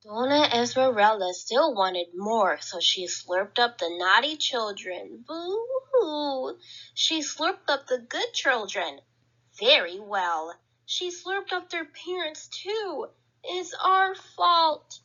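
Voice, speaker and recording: Lively woman reading a children's story. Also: a little high, highs cut off. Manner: playful, acts out sounds and exclamations. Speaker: library storyteller. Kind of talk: reading a picture book aloud to children.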